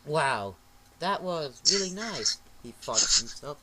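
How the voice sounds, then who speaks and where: A man reading a fan story aloud in a mocking amateur dramatic reading, with ironic asides and shouted outbursts. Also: fairly high pitch, animated, slow, a loud crowd, rough recording. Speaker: reader.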